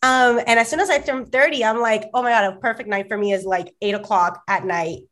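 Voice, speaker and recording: A woman in her thirties co-hosting a casual podcast, her voice raspy and hoarse from allergies, chatty and full of "like". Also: a little high, steady tone, a little fast, fairly bright tone. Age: 30s. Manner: chatty, lots of "like", laughs often. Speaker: podcast co-host.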